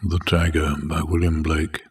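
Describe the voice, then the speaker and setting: A man reciting poetry in a measured, deliberate, dramatic way. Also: rumbling low, completely flat delivery, far from the mic. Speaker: poetry reader.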